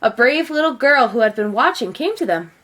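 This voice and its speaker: A woman narrates a children's picture book. Her voice sits fairly high and moves widely in pitch, with measured, expressive storytelling.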